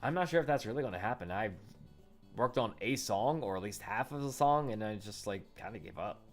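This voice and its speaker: A man's voice: on the low side, expressive, brisk, soft background music. A man in his late twenties chatting casually into a condenser microphone for his vlog, rambling and correcting himself with lots of fillers. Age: 20s. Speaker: YouTuber. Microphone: condenser studio microphone.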